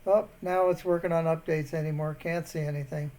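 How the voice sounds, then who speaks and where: High-voiced rambling man on a call. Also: fairly even intonation, fairly quick, dark tone. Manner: rambling, wandering off mid-sentence, increasingly indignant. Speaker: scambaiter posing as an elderly bank customer. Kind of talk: prank phone call.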